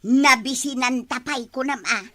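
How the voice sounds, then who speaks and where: A woman's voice: high-pitched, unhurried, a bit bright. A woman with an Ilocano accent playing a fretful, nagging elderly village woman in a broad comedy. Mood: complaining. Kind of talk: broad barangay comedy acting.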